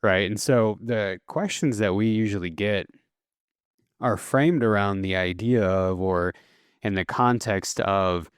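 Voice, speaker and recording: A man hosting a solo podcast, explaining at a relaxed pace with casual fillers and self-corrections. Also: fairly low pitch, measured pace, smooth, no room sound, clean studio sound. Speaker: podcast host.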